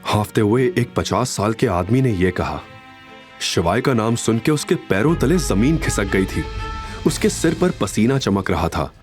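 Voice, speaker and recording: A man's voice: fairly low pitch, animated, unhurried. A man narrating a scripted audio drama in a dramatic storytelling style. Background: background music.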